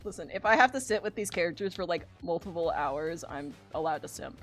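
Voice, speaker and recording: A woman streaming as she draws, chatting casually in an animated, gushing way and swearing freely. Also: on the low side, fairly quick, soft background music. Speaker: streamer and artist drawing live. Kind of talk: casual livestream chat.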